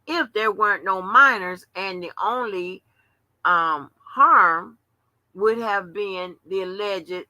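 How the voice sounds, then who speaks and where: A woman in her seventies holds forth on a late-night stream, loose and rambling, salting her talk with slang. Her voice is sharp and tense. The sound is dry, with no room in it. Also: lowish voice, dry recording, low-quality recording. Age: 70s. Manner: chatty, rambling, salty slang. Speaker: livestream host. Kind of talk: casual late-night commentary.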